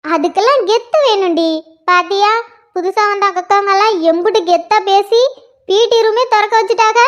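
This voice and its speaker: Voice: very high voice, normal speed, fairly bright tone. A performer voicing a teasing older sister in college, speaking, on a dull lo-fi recording. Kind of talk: one-person comedy skit.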